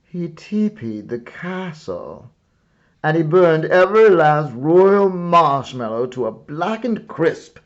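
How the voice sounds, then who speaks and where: Male storybook reader, theatrical and very lively, unhurried with dramatic pauses, slightly dull recording. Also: fairly high pitch. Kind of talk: animated read-aloud of a children's picture book.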